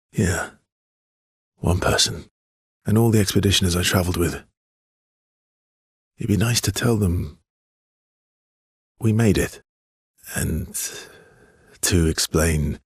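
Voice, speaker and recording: A weary video game swordsman with a low, gravelly voice, heavily husky and worn. He talks in an understated, guarded way, with hesitations and a dry, teasing fondness underneath. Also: no echo, full character voice. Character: world-weary adult male expedition swordsman, guarded but wryly affectionate. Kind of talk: video game character dialogue, conversational.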